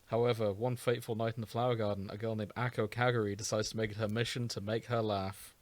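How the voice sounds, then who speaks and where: A man's voice: on the low side, little pitch movement, fast. A man co-hosting a podcast, chatting casually about fan fiction with dry, sweary joking. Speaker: podcast co-host.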